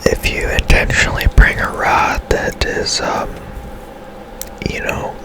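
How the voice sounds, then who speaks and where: A man whispering casual, rambling ASMR commentary over his own gameplay, musing as he goes. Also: slow pace. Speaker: gaming streamer narrating own gameplay.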